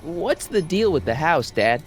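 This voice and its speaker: A man's voice: a little high, sing-song, measured pace. An actor playing an earnest, puzzled American teenage boy in a video game, speaking casually and hesitantly. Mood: exasperated. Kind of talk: animated video game dialogue acting.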